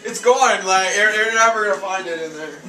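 A man's voice: high-pitched, a little fast, bright, forceful tone, echoey room, faint music behind, rough recording. A young man bantering casually with friends in a small room. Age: young adult. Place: small indoor student lounge.

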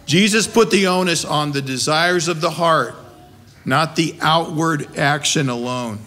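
A man's voice: fairly high pitch, unhurried, bright, forceful tone. A middle-aged or older American man preaching a sermon in a conversational but emphatic way, with rhetorical questions. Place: wooden-walled small church, at a pulpit. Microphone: gooseneck pulpit microphone.

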